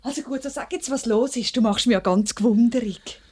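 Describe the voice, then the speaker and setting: Eager young-hearted housewife, fairly high voice, Swiss German accent, lively, inquisitive, vintage radio drama. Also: female voice, a little fast, husky, soft background music. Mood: curious. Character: eager, supportive working-class housewife. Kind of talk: mid-century radio play acting.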